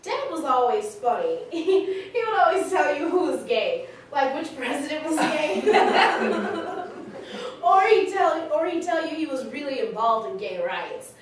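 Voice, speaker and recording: In a very echoey room, far from the mic, a woman plays a frank, affectionate grown daughter. Her voice is high and lively as she chats through family memories in a staged reading, amused and candid. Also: a little slow. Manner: chatty, animated storytelling, often laughing. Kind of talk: staged reading, conversational monologue and dialogue. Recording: very echoey hall, distant mic, faint noise.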